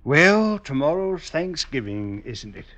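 A man acting as a genteel, secretive doctor in an old-time radio drama, polite, coy and measured. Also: on the high side, sing-song, a bit bright, full character voice. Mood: cordial, polite. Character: genteel, secretive murderous doctor, outwardly courteous. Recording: dull vintage radio recording.

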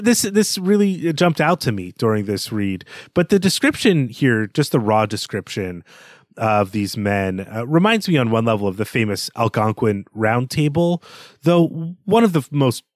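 A man's voice: medium pitch, no echo, studio quality. A man co-hosting a podcast, discussing books conversationally in a bookish, analytical way. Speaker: podcast co-host.

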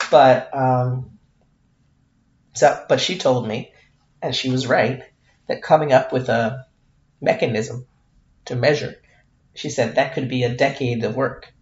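A man's voice: medium pitch, fairly even intonation, measured pace, pauses often. A man hosting his own podcast, talking candidly and self-deprecatingly in a reflective solo monologue, full of fillers and restarts. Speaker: podcast host. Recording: roomy, dull lo-fi sound.

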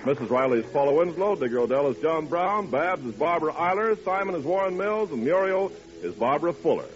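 A man's voice: fairly high pitch, lively intonation, brisk. A man announcing on 1940s radio, with polished, emphatic commercial salesmanship. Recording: muffled, hissy 1940s radio recording.

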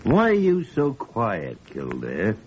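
An actor playing a gruff, fatherly older senior doctor in an old-time radio drama, blunt and given to stammered repetitions. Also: on the low side, wildly swooping pitch, slow pace, darkish tone, full character voice. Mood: blunt, admonishing. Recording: muffled, hissy vintage radio recording.

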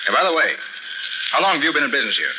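A man's voice: medium pitch, sing-song, phone-quality sound, close to an everyday voice. Man acting a hard-nosed detective on crackly old radio. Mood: businesslike. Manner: brisk, authoritative, interrogating. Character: hard-nosed, commanding male private detective. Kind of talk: 1940s radio drama acting. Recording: noisy, band-limited 1940s radio recording.

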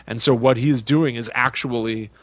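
Guy cohosting a movie podcast, medium pitch, really into it, super dry close recording. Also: steady tone, normal speed, dry recording. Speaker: podcast co-host. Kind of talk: casual movie-discussion podcast, reading out taglines and quotes.

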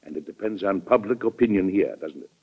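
A man's voice: low-pitched, a little fast, slightly rough. An actor playing a weary but firm, fatherly American president in an old-time radio drama, speaking earnestly with authority and occasional hesitation. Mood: measured, conciliatory. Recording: muffled vintage radio drama recording.

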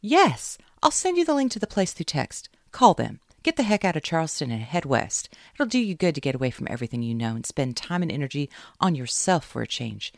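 A reader voicing a brash, cheering southern woman friend with a drawl and loud, emphatic delivery in an audiobook. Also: medium pitch, very animated, moderate pace, vocal fry, no room sound, clean studio sound, pitched above the performer's own voice.

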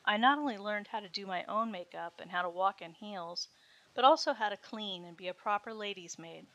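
A woman narrates a first-person story with a steady reading pace. Her voice is bright and crisp, and her tone sits in the middle of her range. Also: medium pitch, a little fast, no echo. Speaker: story reader.

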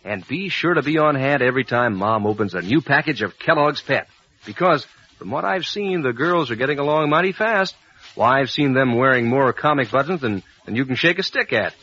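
A man giving a folksy 1940s radio advertising pitch. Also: animated, a little fast, bright, forceful tone, breathy edge. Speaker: announcer reading a cereal advert. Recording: muffled lo-fi old radio recording.

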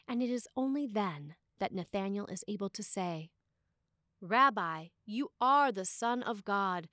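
A woman's voice: medium pitch, no room sound. Woman reading a sermon, dry close recording.

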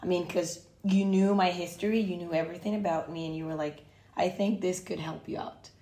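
A woman from Costa Rica, a podcast guest, chatting casually and pausing often to find her words. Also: on the low side, fairly even intonation, warm tone. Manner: hesitant, many fillers and restarts, searching for words. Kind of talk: casual chat. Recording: roomy echo.

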